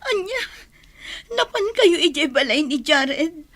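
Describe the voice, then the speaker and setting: A woman's voice: high voice, big pitch swings, slow, fairly bright tone, exaggerated voice. A woman playing an earnest, hurt young wife in a radio drama. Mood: upset.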